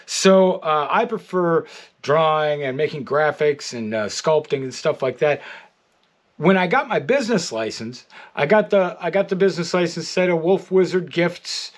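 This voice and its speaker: A middle-aged American man giving a casual, rambling video update, halting often and repeating his words. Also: fairly high pitch, lively intonation. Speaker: artist and toy maker. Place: art studio, indoors.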